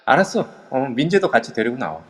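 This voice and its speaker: A man playing a young male group leader who gives brisk orders in an amateur audio drama. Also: medium pitch, lightly acted. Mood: lively. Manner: animated, commanding. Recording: reverb-heavy online audio.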